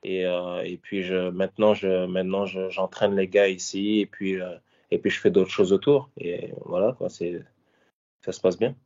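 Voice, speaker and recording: Casting: very low-voiced man in his 30s from Paris, ex-fighter turned coach, halting and casual, dull lo-fi sound. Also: level tone, a little mellow. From France, Paris. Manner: hesitant, many fillers and restarts. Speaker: former MMA fighter and coach. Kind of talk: casual interview answers. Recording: dull lo-fi audio.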